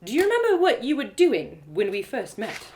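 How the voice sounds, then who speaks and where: A woman's voice: on the high side, huge pitch swings, moderate pace, darkish tone, a little hiss or hum, pitched above the performer's own voice. A woman voicing a proud, spirited young female elf warrior who lectures emphatically in an audio drama. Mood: teasing, indignant.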